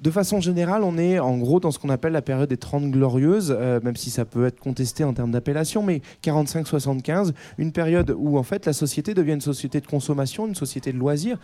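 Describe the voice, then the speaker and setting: A man explains social history in a measured, analytical way. His voice is a touch high yet warm and mellow, and he pauses now and then to find his words. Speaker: podcast co-host. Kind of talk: casual history discussion.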